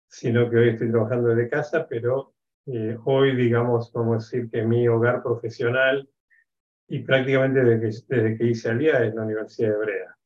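A man's voice: medium pitch, little pitch movement, a little mellow. Man in his sixties, Argentine accent, reflective and hesitant, home office video call, slightly dull sound. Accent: Argentine Spanish. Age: 60s. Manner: measured, reflective, frequent hesitations. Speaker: guest, education expert. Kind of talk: interview conversation.

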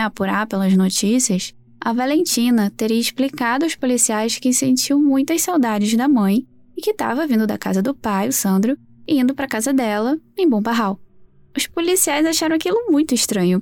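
A Brazilian woman hosts a true crime podcast in a fairly high-pitched voice. She narrates conversationally, as if telling a story to listeners. The sound is dry, with no room echo. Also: darkish tone.

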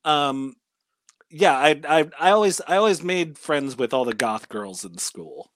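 A man in his thirties with a Californian accent and a mid-pitched voice, recorded very dry. He reminisces casually as an interview guest, in a halting, slangy way with some swearing. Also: animated, no room sound. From California, United States. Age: 30s. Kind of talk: casual chat, personal recollection.

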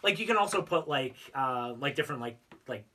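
A man's voice: medium pitch, lively intonation, a little fast, bright, forceful tone. A man co-hosting a casual podcast, talking in a hesitant way full of filler words. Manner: hesitant phrasing with many likes and ums. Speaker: podcast co-host. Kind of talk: casual chat. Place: podcast recording studio. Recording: slightly distant from the mic.

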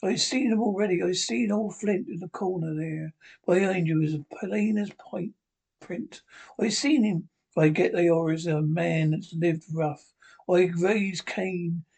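A man voicing a dying, rum-craving old sea captain in a feeble, husky voice, pleading and cursing. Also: high-pitched, a little mellow, heavily acted. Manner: pleading, wheedling, cursing, slow and drawn out. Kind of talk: audiobook character voice.

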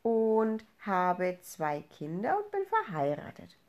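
A woman in her early thirties with a mid-pitched voice, co-hosting a podcast. She comes from Upper Franconia in Germany and talks in a casual, conversational way. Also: huge pitch swings, slow, muffled recording. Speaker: podcast co-host.

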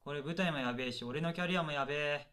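A man's voice: a little high, quick pace, sharp tone. A man acting as an earnest stage actor in a comic radio drama, polite but bluntly honest with his director. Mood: dismayed. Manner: polite, matter-of-fact junior talking back.

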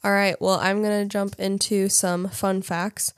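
A woman's voice: medium pitch, little pitch movement, unhurried, dry recording, clean studio sound. A woman co-hosting a casual podcast, congested from a cold, reading out facts with frequent fillers and some hesitation over names. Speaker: podcast co-host.

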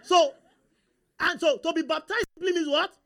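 A man's voice: very high-pitched, normal speed, sharp tone. Nigerian preacher quizzing his congregation. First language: Yoruba. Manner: call-and-response, rhetorical questions to the congregation. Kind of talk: sermon.

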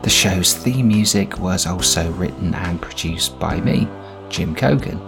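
A man's voice: sits low, a little slow, vocal fry, over music. A man reading scripted closing credits as the host of an audio drama podcast.

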